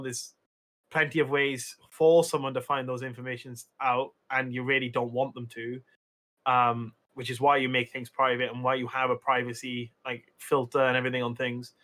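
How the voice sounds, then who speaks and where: A man's voice: mid-range voice, level tone, moderate pace, bright, forceful tone. A young Welsh man, a streamer, chatting casually on a podcast with lots of fillers and restarts. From Wales. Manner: rambling, many fillers and restarts.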